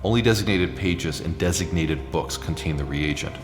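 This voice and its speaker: A knowing, composed male leader of a secret order in a sci-fi audio drama. His voice is extremely low and formal, set in a roomy echo with music behind. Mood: knowing, measured. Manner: measured, formal explaining.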